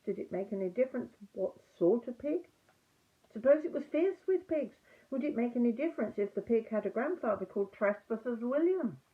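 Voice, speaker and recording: Animated older woman storyteller, thin phone-like sound. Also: mid-range voice. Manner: animated, emphatic reading for young listeners. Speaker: storyteller reading a children's book aloud. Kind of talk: expressive children's storytime narration.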